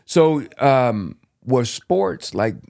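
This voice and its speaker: A man's voice: mid-range voice, very animated, very slow, vocal fry, darkish tone, dry recording, studio quality. Man hosting a faith sports podcast. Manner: conversational, leading long rambling questions. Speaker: podcast host. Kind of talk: faith-focused sports interview.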